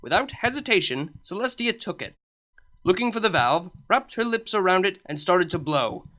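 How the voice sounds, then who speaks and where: A woman reading comedic fan fiction aloud in an expressive storybook narration. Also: on the low side, normal speed, low-quality recording.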